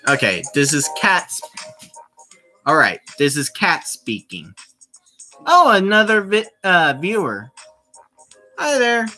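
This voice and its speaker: Casual game streamer, sharp bright delivery, slow pace with repeated phrases, music underneath. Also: mid-range voice, sing-song. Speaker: streamer playing a visual novel. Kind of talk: casual livestream commentary with read-aloud.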